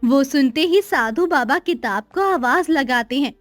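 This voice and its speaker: High-pitched woman narrating a children's story. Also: expressive, measured pace, no echo, faint music behind.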